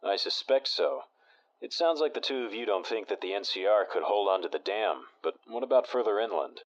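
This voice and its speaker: A man playing an even-tempered radio talk show host with a measured, steady delivery in a fan audio drama. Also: lowish voice, lightly acted, poor audio.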